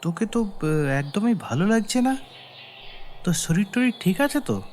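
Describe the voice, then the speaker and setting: A man voicing a trusting, caring village farmer in a cartoon, speaking in an earnest, expressive way as a loyal friend. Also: high voice, normal speed. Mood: concerned. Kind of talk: cartoon story acting.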